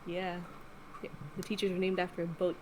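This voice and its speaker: A woman's voice: on the low side, little pitch movement, brisk, poor audio. A woman whose first language is Tagalog, co-hosting a stream in casual, chatty talk and laughing easily. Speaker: co-host. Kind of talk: casual chat.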